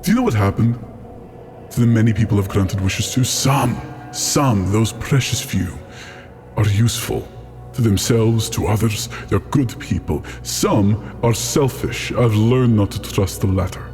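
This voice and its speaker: A man voicing a proud, bitter ifrit genie in a tabletop game, speaking in a measured, stern way. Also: lowish voice, darkish tone, soft background music, natural voice. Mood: distrustful. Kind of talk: improvised tabletop roleplay.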